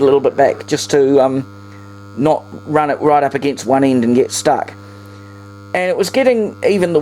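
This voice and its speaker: Casual New Zealand hobbyist presenter, mid-pitched, meandering hands-on commentary, noisy home-recorded sound. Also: mellow. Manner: rambling, thinking aloud. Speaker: vintage radio hobbyist and presenter.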